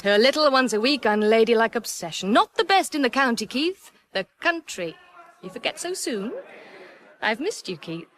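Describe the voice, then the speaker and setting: High-voiced brash flirtatious underworld woman. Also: big pitch swings, moderate pace, a bit bright, a little hiss or hum. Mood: flirtatious teasing. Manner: teasing, knowing. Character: brash, flirtatious female underworld gun dealer. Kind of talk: radio crime drama acting. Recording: outdoor crowd ambience behind.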